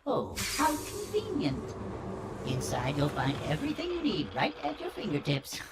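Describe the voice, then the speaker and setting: A woman's voice: medium pitch, wildly swooping pitch, normal speed, background noise. A woman playing a polite, servile droid attendant aboard a passenger starship in an audio drama, speaking formally and obligingly.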